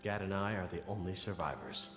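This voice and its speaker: An actor playing a wary but grateful miner who survived an attack, in scripted video game dialogue. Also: male voice, sits low, steady tone, brisk, a bit bright, slightly rough. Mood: guarded. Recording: echoey game audio with music underneath.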